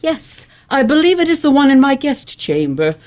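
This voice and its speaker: A woman's voice: high voice, sing-song, normal speed, a little mellow. A woman playing a haughty, wealthy Victorian elocutionist in a radio play, speaking with grandiose, precise enunciation. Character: haughty, wealthy, indignant lady elocutionist of 1870s New England. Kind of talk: old-fashioned radio play acting.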